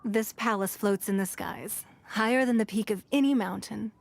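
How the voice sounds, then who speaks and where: Woman acting powerful lady leader, video game, poised, formal, shrewd, medium pitch, measured. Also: gravelly, a little hiss or hum, natural voice. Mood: gracious, proud. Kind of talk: scripted video game cutscene dialogue.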